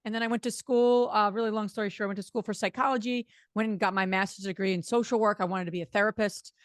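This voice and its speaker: Fast-talking New Jersey woman. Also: mid-range voice, fairly even intonation, no room sound, studio quality. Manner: fast-talking, chatty, many fillers. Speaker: guest, real estate investor. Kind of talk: casual interview talk.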